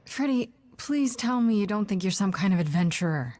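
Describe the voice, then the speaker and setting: A woman's voice: mid-range voice, lively intonation, normal speed, a little mellow, no room sound, lightly acted. A woman acting a determined young heroine in a video game, earnest and dry, with an understated natural delivery. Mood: surprised, fond.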